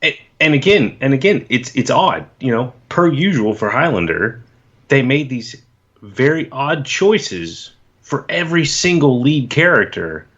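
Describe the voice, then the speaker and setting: A man's voice: medium pitch, sing-song, a little slow, a bit bright, highs cut off. A man guesting on a podcast in casual chat, hesitant and repeating words as he thinks.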